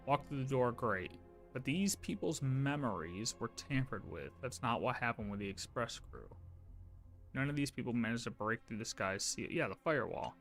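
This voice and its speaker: A man with a bright, keen-edged voice commentates on a game stream. He thinks out loud in informal, sometimes sweary slang. Faint music plays behind him. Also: medium pitch, lively intonation, moderate pace. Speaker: livestreamer. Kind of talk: casual game playthrough commentary.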